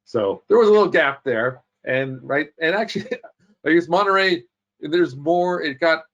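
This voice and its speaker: A man's voice: fairly high pitch, animated, moderate pace, fairly bright tone, lo-fi sound. A man in his fifties, a guest on an interview show, telling his life story in a rambling, self-correcting way. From United States. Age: 50s. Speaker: podcast guest. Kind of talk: casual interview chat.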